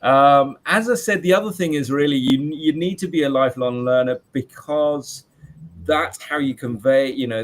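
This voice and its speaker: A man in his late forties, a teacher, who talks in a loud voice and answers interview questions in a chatty way with many fillers and restarts. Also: mid-range voice. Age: 40s.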